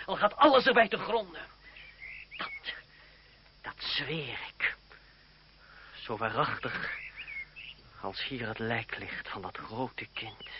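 A man acting in an old radio play as a bitter, defiant young man grieving his friend, with theatrical, emphatic delivery. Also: fairly high pitch. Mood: vowing revenge. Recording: muffled, noisy old radio recording.

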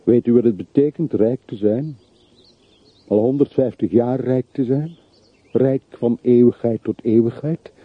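A man's voice: mid-range voice, unhurried, breathy edge, no room sound. An actor in a radio drama playing a brooding, bitter man from a rich family who mourns his dead in melancholy monologue. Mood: intense, bitter. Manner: melancholy, reflective, literary monologue. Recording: muffled, narrow-band old radio play recording.

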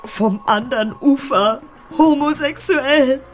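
An actress playing a hysterical, intolerant mother with drawn-out, melodramatic delivery in a German radio drama. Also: high voice, soft background music. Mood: outraged.

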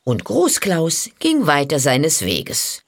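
A woman narrating a fairy tale from a script in an expressive storytelling manner. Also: low voice, takes it slowly, a little hiss or hum.

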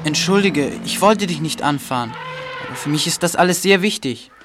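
A man's voice: fairly high pitch, lively intonation, a little fast, fairly bright tone, a little hiss or hum. An actor in a German audio drama voicing an earnest, worried boy with an urgent manner. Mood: defensive. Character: anxious, earnest orphaned boy, lion keeper's nephew.

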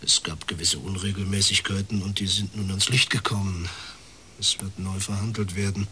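Low-voiced, suave older clergyman. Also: fairly even intonation, sharp tone, slight background noise. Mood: smooth, businesslike. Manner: measured, formal, unctuous delivery. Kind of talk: radio crime drama acting.

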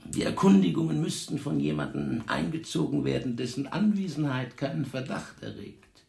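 A menacing commissioner in a radio drama, with a very gravelly voice that swings widely in pitch. He is sly and pompous, stretching out his threats in an echoey room. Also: very animated, moderate pace, a little mellow. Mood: condescending. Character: domineering, sly French police commissioner, menacing. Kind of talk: German radio drama acting. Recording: echoey room, faint music.

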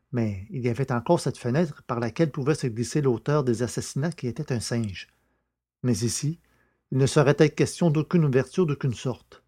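A man's voice: mid-range voice, warm tone. A man narrating a novel in a scripted, literary storytelling style. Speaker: audiobook narrator.

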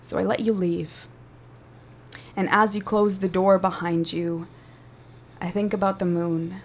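A woman whose first language is Afrikaans, a spoken word poet and teacher, performing a poem with slow dramatic delivery and chatting about her craft. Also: fairly low pitch, steady tone. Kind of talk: poetry performance and conversation.

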